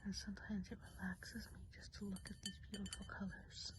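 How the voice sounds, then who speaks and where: A woman whispering softly and breathily in an unhurried, reassuring ASMR role play as a kindly woodland witch. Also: medium pitch, level tone. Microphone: close microphone. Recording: close whisper with audible background hiss.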